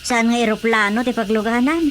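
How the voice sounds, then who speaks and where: A woman's voice: fairly high pitch, brisk, close to an everyday voice. A woman playing a fussy, questioning village mother in an Ilocano radio drama comedy, with some background noise. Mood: doubtful, questioning.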